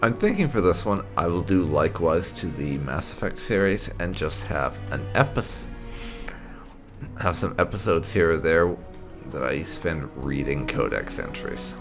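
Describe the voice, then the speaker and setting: Deep, gravelly man commentating on a game. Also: lively intonation, a little slow. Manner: musing, thinking aloud. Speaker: gaming channel host. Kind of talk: casual video game playthrough commentary with light roleplay. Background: game music.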